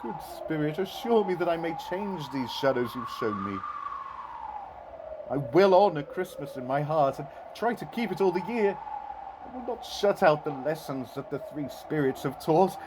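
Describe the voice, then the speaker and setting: An actor playing an old Victorian miser in an audio drama, earnest and theatrical, with formal old-fashioned phrasing. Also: male voice, a little high, animated, moderate pace, noisy background, close to an everyday voice. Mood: desperate pleading. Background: music.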